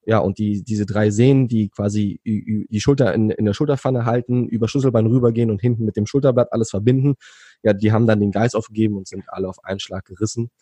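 A man's voice: fairly low pitch, steady tone, quick pace, mellow, slightly rough, dry recording. A young man from Berlin, recounting his youth as an interview guest in a rambling way, with many fillers and restarts. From Berlin, Germany. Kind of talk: relaxed conversational storytelling.

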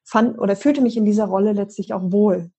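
A middle-aged woman from Berlin with a mellow, husky voice talks in a measured, articulate way. She answers questions as an interview guest, explaining her work as a leadership coach with calm, steady confidence. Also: mid-range voice. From Berlin, Germany. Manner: measured, articulate, occasional fillers. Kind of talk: conversational interview.

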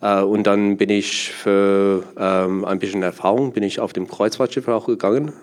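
A man's voice: fairly low pitch, fairly even intonation, normal speed, darkish tone. A young man from South Africa, a native Afrikaans speaker with an Afrikaans accent, answering interview questions hesitantly as he searches for words in his second language. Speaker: interview guest, part-time sommelier.

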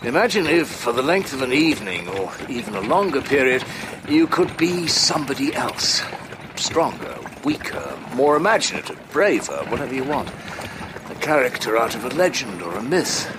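A man's voice: mid-range voice, expressive, moderate pace, background noise, natural voice. An actor playing an earnest older professor and anxious father, intense and articulate, in an audio drama. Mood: reflective. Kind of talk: audio drama narration and dialogue.

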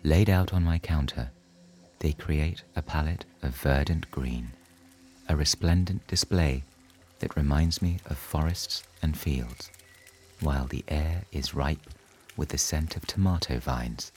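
A man narrating a scripted bedtime story in a soothing, measured manner. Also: extremely low pitch, a little mellow, slight background noise.

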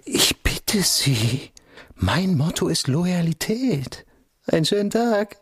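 Menacing official man, formal, husky, lively pitch, super dry sound, radio play. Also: takes it slowly, no echo, heavily acted. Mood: veiled threat. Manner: formal, insinuating. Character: smooth-talking, veiledly menacing male senior official.

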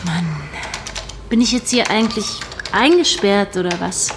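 A woman's voice: medium pitch, unhurried, natural voice. An actress playing a young undercover policewoman in a fiction podcast, anxious, informal and insistent. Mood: restless, annoyed. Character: young female undercover investigator, anxious, impatient, pleading. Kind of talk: naturalistic fiction podcast acting in German. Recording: room recording with clear background noise.

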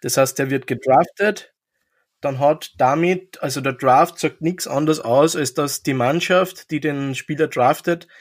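A man's voice: on the high side, measured pace. A man co-hosting a casual chat, explaining things with frequent hesitations and fillers. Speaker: podcast co-host explaining hockey.

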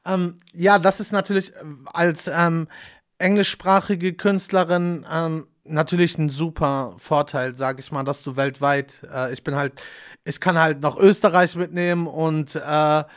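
A man's voice: a little high, steady tone, a little slow, clean tone. A man hosting a casual podcast interview, chatting loosely with lots of fillers and small self-deprecating jokes. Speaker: podcast host.

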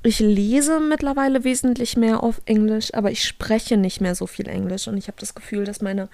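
A woman's voice: on the high side, steady tone, moderate pace, creaky, dark tone, no echo. A young woman from Germany, co-hosting a podcast in casual chat, talking freely with frequent laughs and restarts. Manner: chatty, laughs often, trails off and restarts. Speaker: podcast co-host.

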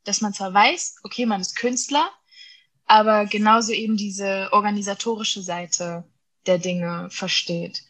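A woman's voice: mid-range voice, steady tone, measured pace, fairly bright tone. A woman in her twenties, an artist hosting a casual conversation, talking earnestly with many filler sounds. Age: 20s.

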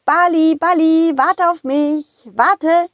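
A woman voicing a cheerful, eager little male dog who talks excitedly to children in an audio play. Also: very high-pitched, takes it slowly, no room sound, studio quality, pitched above the performer's own voice. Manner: excitable, addresses children directly.

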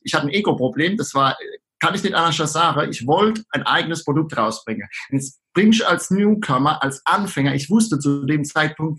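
Husky Palatinate-accented man. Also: a little high, fairly bright tone. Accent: Palatinate German. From southern Palatinate, Germany. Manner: hesitant, frequent fillers. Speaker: podcast guest. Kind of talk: casual interview chat.

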